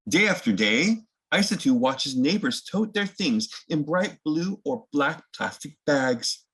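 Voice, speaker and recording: A man's voice: on the high side, big pitch swings, unhurried, a bit bright. A man hosting a children's picture book read-aloud, mixing story narration with casual explanatory asides.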